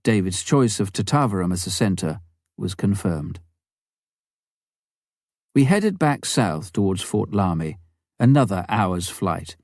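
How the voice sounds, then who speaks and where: A man narrating a missionary memoir as an audiobook, in a measured storytelling manner with careful phrasing. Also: deep voice, animated, darkish tone, dry recording, clean studio sound.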